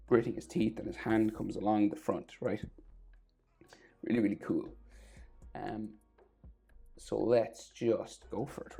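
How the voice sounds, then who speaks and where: Man, sore throat, gravelly crackly voice, lowish pitch, relaxed drawing tutorial talk, quiet music behind. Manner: relaxed, thinking aloud, often trailing off to a near whisper. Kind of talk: casual drawing tutorial voice-over.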